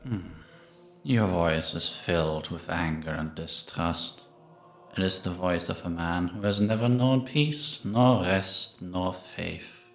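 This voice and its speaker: An actor playing the ghost of an old priest in an audio drama, serene, solemn and gently probing, in a measured delivery. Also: male voice, sits low. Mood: wistful, calm. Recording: echoey stone-room reverb.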